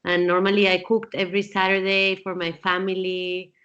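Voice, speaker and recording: A woman's voice: mid-range voice, completely flat delivery, normal speed, a bit bright. A woman from Peru in her forties, answering interview questions in a thoughtful way, with frequent fillers and restarts. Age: 40s. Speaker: guest. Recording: dull lo-fi sound.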